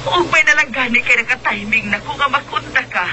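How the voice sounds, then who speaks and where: A woman's voice: high voice, a little fast, natural voice. An actress playing a desperate, bossy, corrupt woman senator in a melodramatic, volatile Cebuano radio drama performance. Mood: anxious, pleading. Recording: noisy radio drama, music bed.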